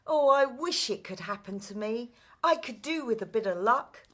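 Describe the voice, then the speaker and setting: Kind young servant girl voiced by a woman, cheerful, polite, plucky, lilting animated pitch, pantomime acting. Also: moderate pace. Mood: cheerful, wistful.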